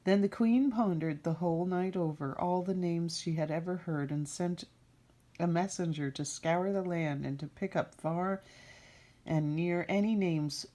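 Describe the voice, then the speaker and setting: A middle-aged woman tells a fairy tale at a very slow pace, in a slightly low voice. Her read-aloud is deliberate and expressive, with a dramatic storyteller's delivery.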